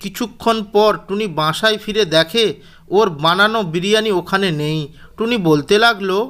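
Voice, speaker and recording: High-pitched, clear male story narrator. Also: normal speed, a bit bright.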